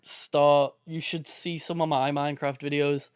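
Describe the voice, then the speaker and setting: A man's voice: on the high side, flat delivery, measured pace. A man co-hosting a casual podcast, laid-back and chuckling as he rambles nostalgically. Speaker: podcast co-host.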